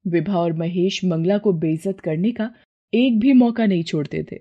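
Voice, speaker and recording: A woman narrating a scripted cartoon moral story in a steady, measured way. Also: fairly low pitch, highs cut off.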